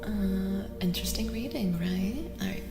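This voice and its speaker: A young adult woman speaking softly as an ASMR livestreamer and tarot reader, often dropping toward a whisper. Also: medium pitch, measured pace. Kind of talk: soft-spoken ASMR livestream chat. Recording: reverberant echo with music behind. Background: music.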